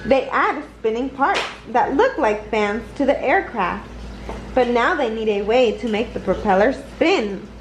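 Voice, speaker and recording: A woman reading a children's picture book aloud in a deliberate, expressive storytelling manner. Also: fairly high pitch, slight background noise, low-quality recording. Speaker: storybook reader.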